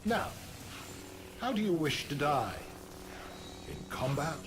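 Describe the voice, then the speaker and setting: A man playing a gloating dark emperor in a game, slow, theatrical and full of contempt. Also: fairly high pitch, very animated, background noise, exaggerated voice. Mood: cold contempt. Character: menacing, gloating dark emperor possessing a Jedi master. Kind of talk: dramatic game villain acting.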